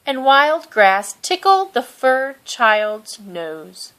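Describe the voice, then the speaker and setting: Slow, expressive woman reading a storybook. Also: high-pitched. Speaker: storybook reader. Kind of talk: children's picture-book read-aloud.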